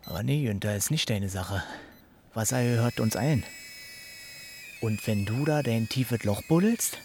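A man's voice: medium pitch, measured pace, a little mellow, slight background noise, close to an everyday voice. An actor playing a nosy, accusing village man in a radio play, speaking colloquially and confrontationally with a Berlin-Brandenburg accent. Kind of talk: naturalistic German radio play acting.

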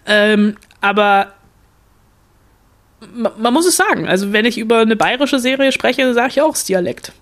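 A woman's voice: fairly high pitch, expressive, moderate pace. Chatty woman reviewing a series. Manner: rambling with frequent fillers and restarts. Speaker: film critic podcast co-host. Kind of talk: casual chat, reviewing a series.

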